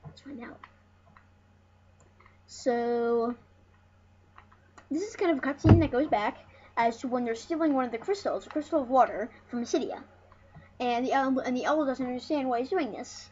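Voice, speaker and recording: A high-voiced speaker giving casual let's play commentary as host of a retro gaming series, rambling and often restarting phrases. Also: moderate pace, pauses often, darkish tone. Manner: rambling, repeats and corrects phrases. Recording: muffled, noisy home recording.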